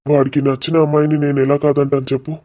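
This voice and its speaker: A man's voice: a little high, flat delivery, fast talker, warm tone, lightly acted. A man voicing a genial, firm middle-aged father in a cartoon village drama. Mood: earnest, reassuring.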